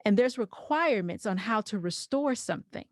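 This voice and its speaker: A woman speaks in a reflective podcast conversation with a mid-range voice. She explains things deliberately, building each point in short phrases. The sound is dry and free of room echo. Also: mid-range voice, animated. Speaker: podcast guest.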